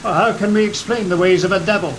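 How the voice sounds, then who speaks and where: An actor playing a pious, measured sea captain with a wry, questioning manner in a gothic horror audio drama. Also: male voice, high voice, fairly even intonation, lightly acted. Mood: wry, musing. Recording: loud rain noise behind.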